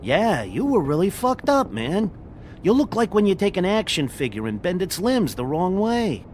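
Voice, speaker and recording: Sardonic talking pig, lively high pitch. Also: male voice, moderate pace, slight background noise. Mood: wry, reassuring. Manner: sardonic, slangy, informal. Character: wisecracking, blunt talking pig, loyal male friend. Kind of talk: video game voice acting.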